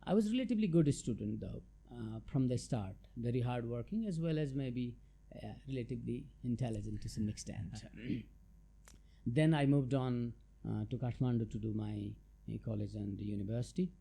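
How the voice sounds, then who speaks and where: Man, middle-aged, from Nepal, gravelly voice, hesitant interview guest. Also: medium pitch, moderate pace, warm tone. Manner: thoughtful and hesitant, many fillers and restarts. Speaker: guest, chartered tax adviser. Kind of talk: relaxed interview answers.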